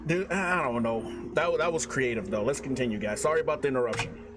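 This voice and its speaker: A young man giving casual reaction commentary, slangy and halting, often repeating himself. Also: medium pitch. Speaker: reaction video host. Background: loud background music.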